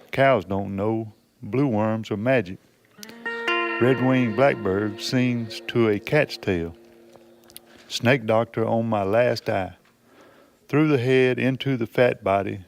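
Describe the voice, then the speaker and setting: A plainspoken, understated man sharing personal writing and thoughts as a poetry student. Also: fairly low pitch. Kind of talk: halting personal talk and plain poem reading. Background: guitar music behind the voice.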